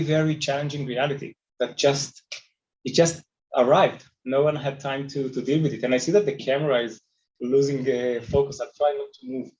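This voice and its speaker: A man from Israel with an Israeli accent, telling stories conversationally as an animated panel guest. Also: mid-range voice, highs cut off. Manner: animated talker, moves a lot while speaking. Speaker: panel guest, travel technology company leader. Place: remote video call on camera.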